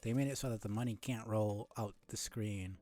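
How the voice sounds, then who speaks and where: A man's voice: on the low side, fairly even intonation, moderate pace, mellow, soft background music. A man giving casual live commentary as he plays a game, with muttered, offhand reactions. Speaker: game streamer.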